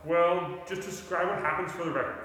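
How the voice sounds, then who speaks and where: A man acting brisk scientist, distant and echoey. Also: high-pitched, steady tone, pushed and bright. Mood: directing. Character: Paul, brisk, confident tinkering scientist buddy. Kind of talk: 1940s radio play acting. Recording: echoey hall, distant mic, noisy.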